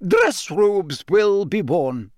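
A man's voice: high voice, very animated, extremely slow, darkish tone, no room sound, voice pushed up, heavily acted. A stern older schoolmistress, put on by a man reading aloud, with a raised, clipped voice that swoops up sharply on commands. She speaks firmly and disapprovingly, the sound dry and close, as on an audiobook. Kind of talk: audiobook character voice.

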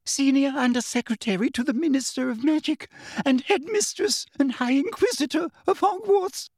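A man voicing a pompous, frightened and indignant woman official in a storybook, high-pitched and officious. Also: sing-song, normal speed, vocal fry, no room sound, studio quality, full character voice. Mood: terrified, officious. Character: pompous, terrified, indignant female government official. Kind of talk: audiobook character voice.

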